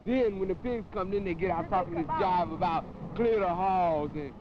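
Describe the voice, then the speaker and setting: A man's voice: high-pitched, normal speed. A young American man, a student activist, talking casually and slangily. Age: teens to young adult. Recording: dull, noisy old 16mm film sound.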